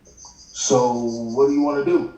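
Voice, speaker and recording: An actor playing a streetwise, frank friend in a naturalistic table read. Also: male voice, medium pitch, fairly even intonation, slow pace, mellow, lightly acted. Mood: frank, concerned. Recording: echoey room, distant video call mic.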